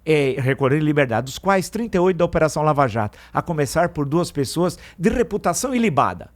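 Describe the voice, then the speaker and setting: Middle-aged Brazilian male radio pundit, slightly high voice, outraged, emphatic, digressive. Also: big pitch swings, fast. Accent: Brazilian Portuguese. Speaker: radio commentator. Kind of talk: opinion commentary on morning radio news. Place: radio studio.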